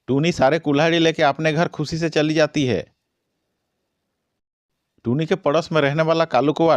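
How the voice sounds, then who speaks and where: Man narrating a children's cartoon story. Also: a little high.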